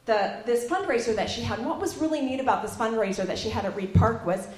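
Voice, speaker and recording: A woman's voice: on the high side, fast talker, darkish tone. A woman telling a rambling story at a church gathering, then introducing a guest speaker. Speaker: event organizer introducing a guest. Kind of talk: casual church talk. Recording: very echoey room.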